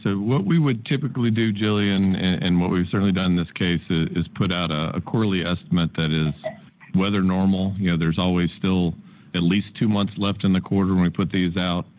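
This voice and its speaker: An American man, a company executive, answering analysts' questions in a measured way with halting repeats. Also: low-pitched. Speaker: company executive on an earnings call. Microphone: conference call. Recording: muffled, narrow-band conference call line.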